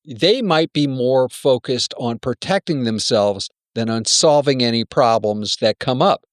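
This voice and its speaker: A man's voice: mid-range voice, a little slow, no echo, clean studio sound, natural voice. A man playing a wary, self-protective senior executive in an amateur radio play, talking in a blunt, cautioning, persuasive way. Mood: guarded, advising.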